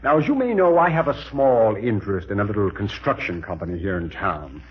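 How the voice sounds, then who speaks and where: Guy acting folksy slick political boss, hearty flattery, very animated, muffled old 40s radio sound. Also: fairly low pitch, a little fast, natural voice. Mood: smooth, persuasive. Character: smooth, folksy, glad-handing male political boss. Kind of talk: 1940s radio comedy acting. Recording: muffled vintage 1940s radio recording.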